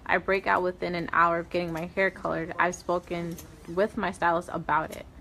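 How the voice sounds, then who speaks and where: A woman's voice: fairly low pitch, level tone, normal speed, creaky, sharp tone, a little hiss or hum. A woman in a hair salon reading viewer comments aloud in a plain, matter-of-fact way.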